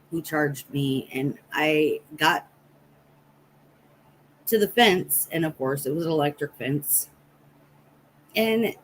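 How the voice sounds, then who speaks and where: A woman's voice: sits low, slight background noise, poor audio. An American woman telling rambling personal stories casually to livestream viewers, as the host of the stream. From Nebraska, United States. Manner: rambling, anecdotal, chatting with viewers. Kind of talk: casual storytelling chat on a livestream.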